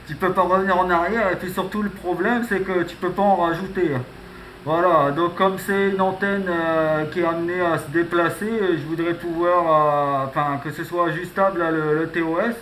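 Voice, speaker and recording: Male radio hobbyist, slightly high voice, casual and rambling, noisy recording. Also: steady tone, normal speed, a bit bright. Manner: rambling, punctuates phrases with filler tags. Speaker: amateur radio operator. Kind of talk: casual radio contact chat.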